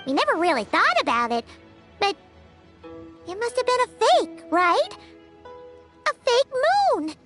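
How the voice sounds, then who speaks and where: Brief: an excitable childlike creature sidekick; very high and bouncy, over game music. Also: female voice, a little slow, long pauses, full character voice. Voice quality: squeaky, childlike. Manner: excitable, speaks of herself in third person. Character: tiny chirpy female floating companion creature. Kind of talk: video game voice acting. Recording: game audio with music underneath.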